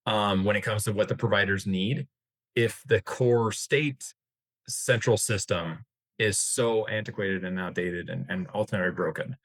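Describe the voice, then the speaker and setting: American male expert interview guest, lowish voice, halting and wordy delivery. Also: a bit bright, no echo, low-quality recording. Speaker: interview guest, healthcare industry executive. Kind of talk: informal expert discussion.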